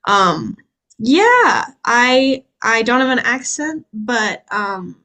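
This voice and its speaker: A woman's voice: fairly high pitch, sing-song, very slow, bright, forceful tone. A woman from Sweden, a podcast guest, talking casually in an upbeat way with a lot of fillers and restarts. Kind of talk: casual interview chat.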